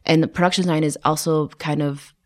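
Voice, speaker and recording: Low-pitched woman, chatty production designer, lots of likes and laughs, kinda tired. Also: fairly even intonation, fast, a little mellow. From United States. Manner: chatty, laughs often, many fillers and restarts. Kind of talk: casual interview conversation.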